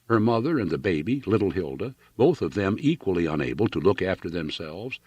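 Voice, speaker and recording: A man's voice: low-pitched, very animated, fairly quick, a little mellow, breathy edge, no echo, highs cut off. A man reading a literary novel aloud as an audiobook narrator, in a dramatic storytelling style.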